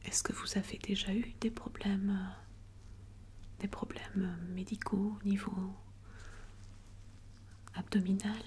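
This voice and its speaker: A woman plays an attentive doctor in a medical ASMR roleplay. She whispers softly in a fairly flat, unvarying tone. Her delivery is gentle and reassuring, with hesitant pauses between phrases. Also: medium pitch. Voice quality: soft, breathy whisper.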